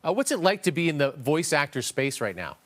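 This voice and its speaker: A man's voice: a little high, very animated, fairly quick. A man hosting a broadcast interview with a polished presenter's delivery. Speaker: TV news interviewer.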